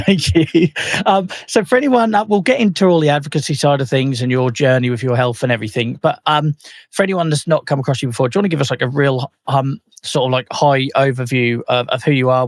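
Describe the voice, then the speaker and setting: A man's voice: medium pitch, no echo, clean studio sound. A man hosting a podcast interview, friendly and a little hesitant, with many fillers. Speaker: podcast host.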